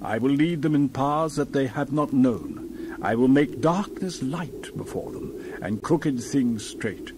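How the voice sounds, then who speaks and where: A man's voice: medium pitch, slow, noisy background. A man giving a dramatized Bible reading as narrator, solemn and declamatory with dramatic emphasis.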